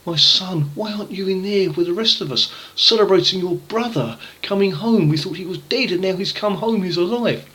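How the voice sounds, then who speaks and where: Storybook father, played by a male narrator: forgiving, loving, emphatic, pitched up and forceful. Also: moderate pace, hardly any pauses, rough recording. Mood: pleading, joyful.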